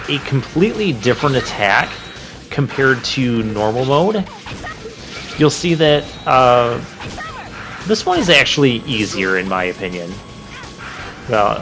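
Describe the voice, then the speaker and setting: A man comments casually over a video game in a medium-pitched voice. He is informal and chatty, thinking aloud as he plays. Game music runs clearly underneath. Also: lively intonation, normal speed. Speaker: video game let's player. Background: game music and crashing effects.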